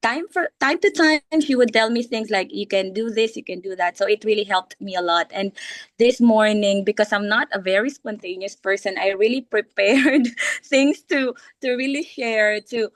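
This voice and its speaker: A woman with a Filipino accent, hoarse from a cold, giving a polite, prepared personal talk with hesitations and self-corrections. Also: mid-range voice, moderate pace, no echo. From Philippines. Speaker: teacher and interview guest. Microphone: video call.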